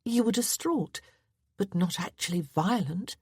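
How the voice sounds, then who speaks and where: Gentle young lady asking questions, soft and a bit husky, dry sound, woman doing her. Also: medium pitch, animated, measured pace, no echo, pitched above the performer's own voice, exaggerated voice. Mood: earnest.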